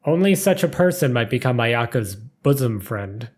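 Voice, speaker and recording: A man's voice: mid-range voice, sing-song, moderate pace. A man reading a scripted story aloud as narrator, with formal, careful delivery.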